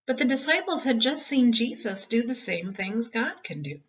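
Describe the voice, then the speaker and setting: A woman's voice: on the high side, big pitch swings, normal speed. A woman reading a children's Bible story expressively, with dramatic, emphatic phrasing meant for young listeners. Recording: dull, distant home-style recording.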